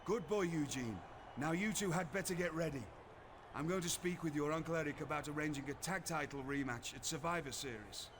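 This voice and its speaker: An actor playing a stern, paternal gentleman mentor in a wrestling video game, speaking formally and admonishingly. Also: fairly high pitch, brisk, natural voice. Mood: approving, brisk. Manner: formal, admonishing, old-fashioned wording. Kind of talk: video game cutscene acting. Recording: noisy game audio with ambience.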